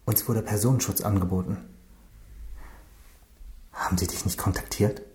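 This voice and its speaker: An actor playing an earnest, protective young gangster's son turned informant in a radio crime drama, plain and urgent. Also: male voice, on the low side, steady tone, normal speed, darkish tone, close to an everyday voice. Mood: subdued, worried. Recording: echoey room.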